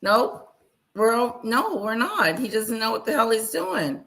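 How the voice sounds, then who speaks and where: Loud, slow-talking woman live-streamer. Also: a little high, very animated, vocal fry. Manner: chatty, greets viewers by name. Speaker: livestream host reacting to news clips. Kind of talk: casual live-stream chat.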